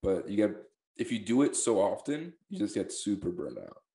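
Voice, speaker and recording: Laid-back young man, low voice, echoey room. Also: huge pitch swings, moderate pace, creaky, warm tone. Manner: laid-back, swears, laughs easily. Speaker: podcast host. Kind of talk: casual chat.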